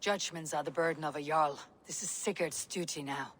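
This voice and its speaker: A woman playing a steady Viking leader who speaks in a calm, measured way. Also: sits low, slight background noise, close to an everyday voice. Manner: terse, measured.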